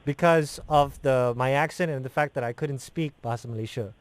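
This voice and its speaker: A man who grew up in Turkey, a radio host telling a lively story in casual chat and acting out bits of dialogue. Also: mid-range voice, a little fast, clean tone, dry recording.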